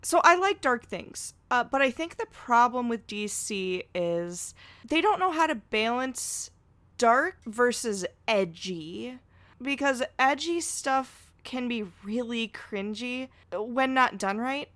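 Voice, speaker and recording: Podcast guest lady, kinda high voice, dry sound, frank and rambly casual chat. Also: animated, slow pace, a bit bright, no echo.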